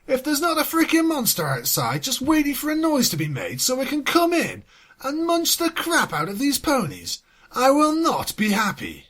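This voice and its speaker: Storyteller, husky voice, super animated, sarky and fed up, swears in the asides. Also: high voice. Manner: sardonic, exasperated, sweary. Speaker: fan fiction reader and commentator. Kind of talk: scripted story reading with sarcastic asides.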